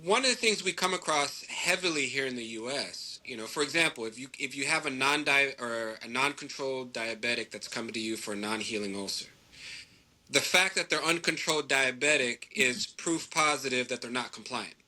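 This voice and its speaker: A man's voice: medium pitch, fairly quick, bright, forceful tone. A man from Greece, a dentist hosting an interview, asking long thoughtful questions and thinking aloud. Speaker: host, dentist. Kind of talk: conversational interview.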